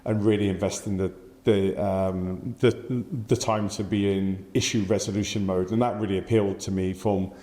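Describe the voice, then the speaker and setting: A man's voice: sits low, little pitch movement, moderate pace, dark tone, reverberant. A man in his forties with an English accent, answering interview questions thoughtfully with many fillers and restarts. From England. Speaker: podcast guest.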